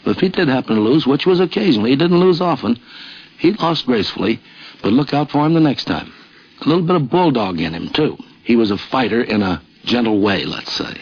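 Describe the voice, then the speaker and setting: A man's voice: mid-range voice, lively intonation, brisk, slightly rough, dry recording. A man reminiscing casually as an interviewee. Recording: muffled vintage radio recording.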